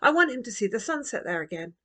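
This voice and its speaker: Woman reading as young storybook girl, high voice, eager, hopeful, earnest, excitable. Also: fast, full character voice. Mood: hopeful, pleading.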